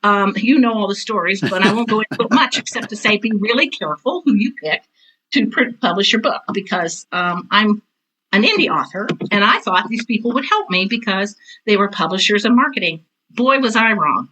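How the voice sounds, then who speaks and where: Older lady chatting in an interview, really bright forceful voice, direct, repeats stuff for emphasis. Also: mid-range voice, lively intonation, brisk, low-quality recording. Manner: self-described intense, direct. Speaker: guest, theater teacher and author. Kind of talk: casual interview talk.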